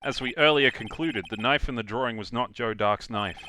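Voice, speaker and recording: A man's voice: medium pitch, moderate pace, sharp tone, slight background noise, close to an everyday voice. A man voicing an earnest young defense attorney in a live fan dub, laying out his case step by step. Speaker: fan voice actor.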